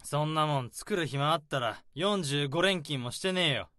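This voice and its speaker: A calm, overworked office drone. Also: male voice, a little high, moderate pace, no echo, studio quality. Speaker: overworked office worker and narrator. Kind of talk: radio drama acting with inner monologue.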